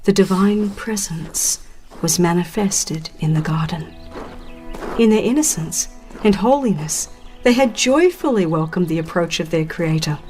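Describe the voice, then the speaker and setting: A woman reading a dramatized religious audiobook as a narrator, in a solemn, measured and expressive storytelling manner. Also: on the low side, soft background music.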